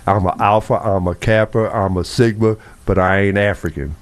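A man's voice: on the low side, steady tone, moderate pace. A man hosting a call-in radio panel, speaking bluntly and provocatively with hesitant restarts. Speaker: radio show host.

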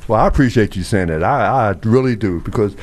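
A man's voice: fairly low pitch, lively intonation, fairly quick. A man hosting a call-in radio panel, speaking bluntly and provocatively with hesitant restarts. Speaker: radio show host.

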